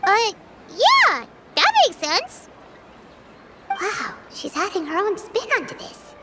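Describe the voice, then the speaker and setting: An actress voicing a tiny, chirpy, childlike companion in an anime-style video game, eager, curious and confident. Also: female voice, very high-pitched, wildly swooping pitch, slow pace, heavy background noise, full character voice. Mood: eager, pleased.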